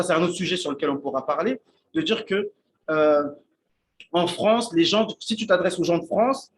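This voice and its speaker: A man giving an informal religious lesson at a shared meal with friends, thinking aloud with many restarts and often checking in with his listeners. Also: fairly high pitch. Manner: halting, thinks aloud, repeats and restarts words; checks in with listeners, asks "d'accord?". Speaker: Islamic teacher giving a talk on Arabic.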